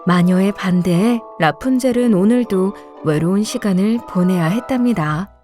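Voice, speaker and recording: A woman reading scripted fairy-tale narration for children in an expressive, measured storytelling way. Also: mid-range voice. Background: soft music.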